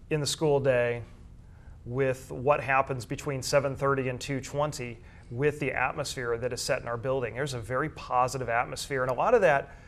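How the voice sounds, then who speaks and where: An American man talks as a guest in a conversational interview. His voice is mid-pitched with plenty of vocal fry. He is earnest and restates his points for emphasis. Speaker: interview guest, high school staff member.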